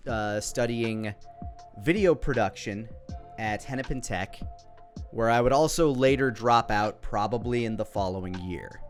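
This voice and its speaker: A man in his thirties with an American accent giving casual, off-the-cuff commentary in a rambling way, often interrupting himself. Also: medium pitch. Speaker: video creator. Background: background music.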